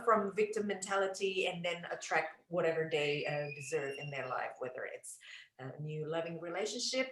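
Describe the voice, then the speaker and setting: A woman's voice: lowish voice, a bit bright. A middle-aged woman with an Indonesian accent hosting a casual interview and chatting as a relationship coach. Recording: roomy, echoing sound.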